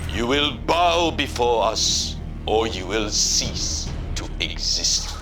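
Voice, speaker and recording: Slow, imperious imperial warlord. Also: male voice, mid-range voice, sing-song. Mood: cold menace. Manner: solemn, menacing, pompous. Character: imperious, merciless male commander of an invading empire. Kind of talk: dramatic video game cutscene speech. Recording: music behind the voice.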